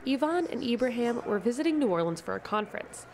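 A woman's voice: on the high side, sing-song, quick pace, crowd noise. A woman reporter reading scripted radio feature narration in a mid-range voice.

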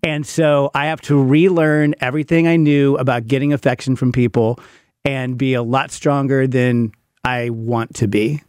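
A man's voice: medium pitch, flat delivery, moderate pace, darkish tone, clean tone, no room sound, clean studio sound. A man in his fifties telling personal stories conversationally, in a self-deprecating and emphatic way. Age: 50s. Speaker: podcast guest, parent of a teenager. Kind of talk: candid conversational storytelling.

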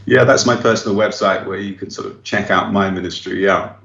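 A man's voice: low-pitched, fairly even intonation, a little fast, gravelly, fairly bright tone, a little hiss or hum. An English missionary pastor being interviewed remotely, speaking earnestly and reflectively, often chuckling as he talks. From England. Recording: roomy, muffled remote line.

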